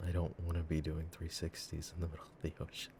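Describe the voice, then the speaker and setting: A low, gravelly-voiced boyfriend, acted by a man in an intimate audio roleplay. He is affectionate and silly yet earnest, rambling to his partner in halting, tender phrases. A noticeable layer of background noise sits under the voice. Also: completely flat delivery.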